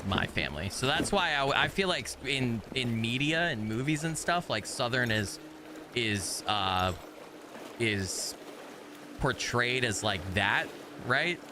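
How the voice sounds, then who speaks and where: A man's voice: medium pitch, animated, takes it slowly. A man hosting a game stream, chatting casually and rambling with many restarts and fillers. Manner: rambling, hesitant, lots of restarts and "like". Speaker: streamer host. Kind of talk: casual chat while gaming. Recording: noticeable background noise.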